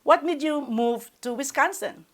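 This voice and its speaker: A woman's voice: sits high, a little slow. Woman hosting an interview. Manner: formal, announcer-like introductions with slips. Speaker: interview show host.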